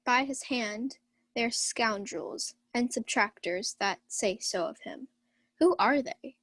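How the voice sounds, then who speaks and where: Drunken uncle knight played by a woman for radio Shakespeare: blustering, defiant, fairly high voice, close and dry. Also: takes it slowly, fairly bright tone, no room sound. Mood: blustering, merry. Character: hard-drinking, blustering uncle, a carousing old knight. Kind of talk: student Shakespeare radio acting.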